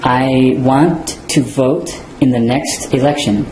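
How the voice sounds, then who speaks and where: Man, interview applicant, short plain answers, unhurried, mellow, roomy echo. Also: medium pitch, steady tone, a little hiss or hum. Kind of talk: scripted role-play. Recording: echoey room.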